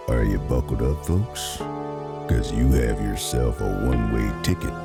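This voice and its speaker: Grizzled cowboy narrator, super deep gravelly voice, slow and grim but swoopy. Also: male voice, huge pitch swings, loud music behind the voice, exaggerated voice. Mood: ominous, inviting. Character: grim, grizzled storytelling narrator of a Western tale. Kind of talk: dramatic scripted narration.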